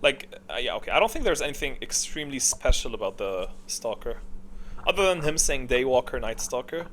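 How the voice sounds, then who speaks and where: A man's voice: mid-range voice, very animated, brisk, fairly bright tone, slight background noise. A man giving casual off-the-cuff gaming commentary, rambling as he thinks aloud and corrects himself. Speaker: video creator and gamer. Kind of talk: casual off-the-cuff commentary ranking game characters.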